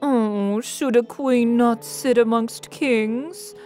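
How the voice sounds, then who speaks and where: Queen goddess played by a woman: unhurried, assured, commanding, audio drama with music behind. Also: on the high side, fairly even intonation, warm tone. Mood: cool. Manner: stately, assured. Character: regal, composed queen goddess, coolly commanding.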